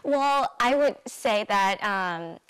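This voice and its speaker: A woman asks the questions in a friendly, casual interview. She keeps the guest talking with frequent short sounds of agreement. Her pitch sits in a middle range. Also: animated, slow pace, a bit bright, clear, resonant tone, poor audio. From China. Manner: encouraging, backchannels often. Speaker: interviewer host.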